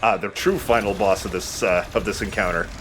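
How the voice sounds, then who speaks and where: Male let's player, lowish voice, casual and jokey with some swearing, faint noise behind him. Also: steady tone, fairly quick. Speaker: let's play host playing the game. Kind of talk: casual gameplay commentary. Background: video game sound.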